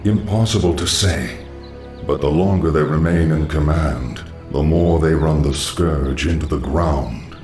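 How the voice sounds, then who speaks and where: An actor playing a patient, scheming undead lich in a fantasy video game, cryptic and sinister. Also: male voice, very deep voice, measured pace, reverberant, recorded from a distance, over music. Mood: sinister counsel.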